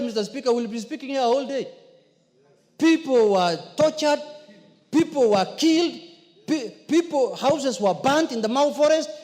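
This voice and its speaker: Fiery high-voiced Kenyan man in parliament. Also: darkish tone. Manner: fiery, impassioned, indignant. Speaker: member of parliament. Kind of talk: parliamentary floor speech. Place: parliament chamber. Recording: long reverb of a large chamber.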